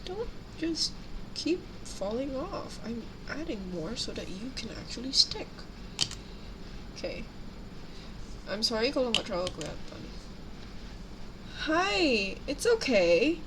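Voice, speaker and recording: A woman's voice: on the high side, sing-song, measured pace, pauses often, noisy background. A young woman from Indonesia, chatting casually and switching between two languages on a livestream while she paints miniatures. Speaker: streamer.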